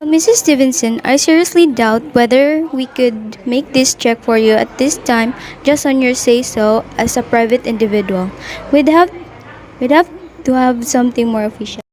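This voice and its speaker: An actress in a radio play, playing a coolly formal, officious telephone company official with a measured, procedural manner. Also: female voice, a little high, a little mellow, a little hiss or hum, natural voice. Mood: officious, doubtful. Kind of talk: old-time radio play acting.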